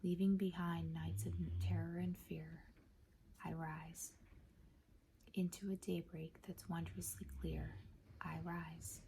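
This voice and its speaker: A woman speaking softly in a breathy half-whisper, gentle and measured, as an ASMR host reading to listeners. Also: on the low side, little pitch movement, a little hiss or hum. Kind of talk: soft-spoken ASMR poetry reading.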